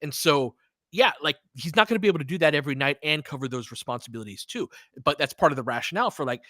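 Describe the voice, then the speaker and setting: A man hosting a live basketball watch-along, talking as an excitable, swearing fan who also analyzes the play. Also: fairly high pitch, fast talker, dry recording, clean studio sound. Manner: profane, excitable fan talk with analytical asides. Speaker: sports podcast host and analyst.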